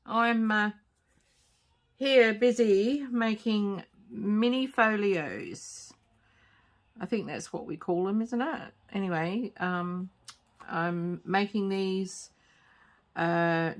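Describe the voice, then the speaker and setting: Slow, croaky woman crafting at home. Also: mid-range voice. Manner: hesitant, many fillers, soft-spoken. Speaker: crafting channel host. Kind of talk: casual craft tutorial. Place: home craft table, late at night.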